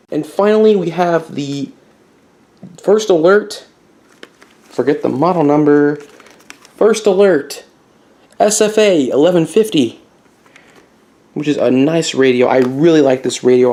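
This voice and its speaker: A man's voice: on the high side, dark tone. A man giving a casual, unscripted show-and-tell as a hobbyist video host, rambling a bit and correcting himself with mild enthusiasm.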